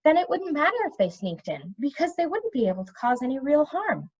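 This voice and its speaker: High-voiced, outspoken storybook princess read by a woman. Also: big pitch swings, brisk, muffled recording. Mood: brisk. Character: brisk, outspoken princess, sharp but fond.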